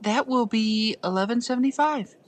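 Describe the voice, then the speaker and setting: An actress playing a polite counter server in an audio drama. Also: female voice, a little high, moderate pace, lightly acted. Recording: very noisy background.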